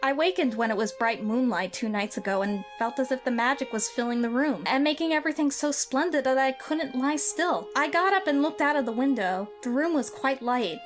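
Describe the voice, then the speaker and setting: A woman doing a high-voiced, wonder-struck boy for an audio drama, lively and excitable. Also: fairly quick, few pauses, soft background music, close to an everyday voice. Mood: earnest. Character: sickly boy recovering, eager and wondering. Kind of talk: amateur audio drama acting.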